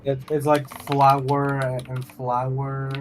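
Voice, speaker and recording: A man's voice: medium pitch, completely flat delivery, slow. A man talking casually in a group chat during a tabletop game.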